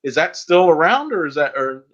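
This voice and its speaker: A man hosting a podcast, asking casual questions in halting, hesitant phrasing. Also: a little high, sing-song, a bit bright. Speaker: podcast host. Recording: slightly dull lo-fi sound.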